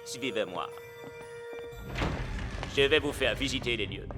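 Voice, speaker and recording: An actor playing a theatrical coach of a spooky castle stadium, telling things in a grave, drawn-out, ominous way in French cartoon dubbing. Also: male voice, mid-range voice, music in the background, lightly acted. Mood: grandly welcoming.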